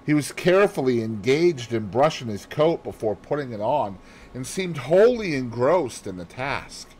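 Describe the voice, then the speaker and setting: A man reading a novel aloud as an expressive storyteller. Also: medium pitch, normal speed, poor audio. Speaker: audiobook reader. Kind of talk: expressive scripted story narration.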